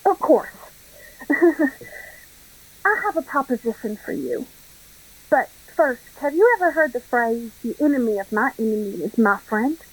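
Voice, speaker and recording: A woman's voice: on the high side, unhurried, pauses often, husky, poor audio. A woman playing a smug, scheming Southern crime boss in a radio play, with an effusive, mock-genteel drawl. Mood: sly, persuasive.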